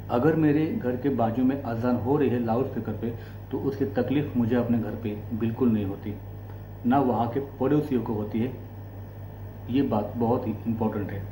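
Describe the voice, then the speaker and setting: A man from India with an Indian accent, giving explanatory commentary as a host in deliberate, short broken phrases. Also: mid-range voice, mellow. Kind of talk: explanatory political commentary to camera. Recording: echoey room, faint background noise and hum.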